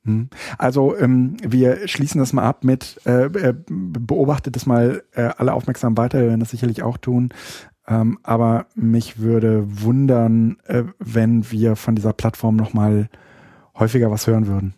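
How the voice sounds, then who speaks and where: A man co-hosts a casual podcast, thinking aloud in long, winding sentences full of fillers and restarts. His voice is mellow and breathy-husky. The sound is dry and close. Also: mid-range voice, normal speed, no room sound. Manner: hesitant, many fillers and restarts. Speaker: podcast co-host. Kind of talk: casual chat.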